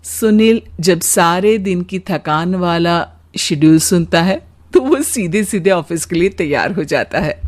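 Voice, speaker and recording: A woman's voice: on the low side, animated, measured pace. A woman narrator telling a scripted cartoon story.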